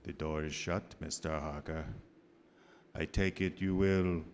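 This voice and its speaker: An actor playing a sinister, aristocratic male vampire in a gothic radio drama, with deliberate, menacingly polite delivery. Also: very deep voice, fairly even intonation, a little hiss or hum. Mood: mocking politeness.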